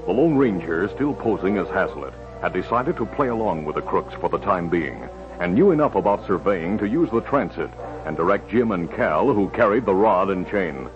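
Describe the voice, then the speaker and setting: A man's voice: sits low, animated, normal speed. A man reading scripted narration for a 1950s radio drama. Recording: dull old radio recording, music behind.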